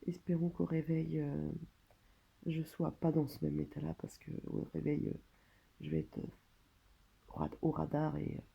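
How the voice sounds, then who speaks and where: A French woman speaking casually and hesitantly, as in a personal video diary, pausing and trailing off between phrases. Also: deep voice, vocal fry, breathy edge, lo-fi sound. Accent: French, from France. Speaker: vlogger documenting own surgery stay. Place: hospital room.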